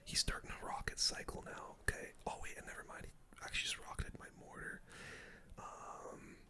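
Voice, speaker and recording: A gaming streamer commentates in a soft, unvoiced whisper for ASMR. The whisper is breathy and extremely bright, with a sharp hiss to it. The wording is casual gamer slang, delivered close to the microphone. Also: normal speed.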